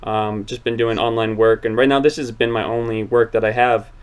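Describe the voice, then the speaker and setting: A young man in his twenties hosts a relaxed talk and rambles earnestly, restarting his sentences often. His voice is smooth and clear but stays flat in pitch. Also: a little fast. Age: 20s. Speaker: channel host. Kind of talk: casual interview chat.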